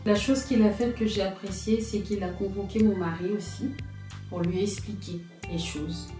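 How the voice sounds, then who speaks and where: A woman's voice: mid-range voice, steady tone, normal speed, dark tone, faint music behind. A woman in her thirties from France, giving a personal testimony as an interview guest, speaking in a reflective, hesitant way as she searches for words. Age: 30s. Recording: roomy, from a distance.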